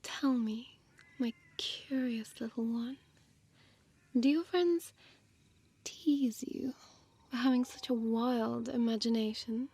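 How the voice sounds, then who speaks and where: Woman acting as a slow, breathy, taunting female vampire. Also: fairly high pitch, steady tone, mellow, exaggerated voice. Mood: feigned surprise, taunting. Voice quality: breathy, often dropping to a whisper. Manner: slow, teasing, condescending, formal diction. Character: small deadly female vampire, mocking, seductive predator. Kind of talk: intimate audio roleplay addressed to listener.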